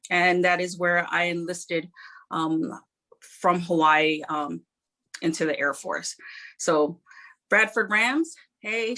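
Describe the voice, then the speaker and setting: A middle-aged woman from New Zealand, an Air Force member telling her life story in an interview, earnest and modest, pausing often. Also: lowish voice, pushed and bright. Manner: earnest, modest, reflective with frequent pauses.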